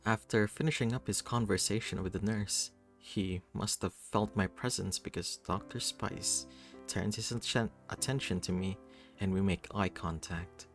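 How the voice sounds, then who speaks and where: A man with a fairly low voice narrates a first-person story. His delivery is expressive and theatrical, like someone acting out a visual novel. Music plays behind him. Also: normal speed. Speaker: reader voicing a visual novel.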